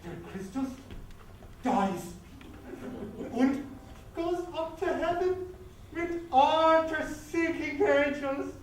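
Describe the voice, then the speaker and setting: Man acting an excitable German in an echoey hall. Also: extremely high pitch, very slow, long pauses, full character voice. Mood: earnest, excited. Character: earnest, excitable German man presenting a play manuscript. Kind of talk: staged readers' theatre comedy. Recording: echoey hall from far, background noise.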